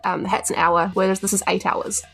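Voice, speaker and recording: Creaky-voiced New woman. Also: mid-range voice, flat delivery, fairly quick, a bit bright, faint music behind, low-quality recording. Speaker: player in a tabletop RPG podcast. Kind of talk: casual chat.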